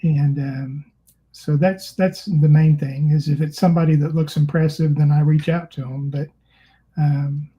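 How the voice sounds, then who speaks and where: A man in a casual discussion, speaking plainly and a little haltingly. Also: a little high, fairly even intonation, dark tone, low-quality recording.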